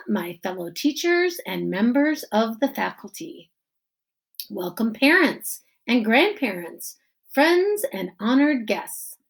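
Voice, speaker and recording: A woman plays a middle-aged school principal addressing a graduation in a storybook. He speaks slowly and emphatically, with a creaky edge. His delivery is formal and earnest, with deliberate pauses. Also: on the high side, full character voice. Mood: formal, welcoming. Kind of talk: children's novel read-aloud.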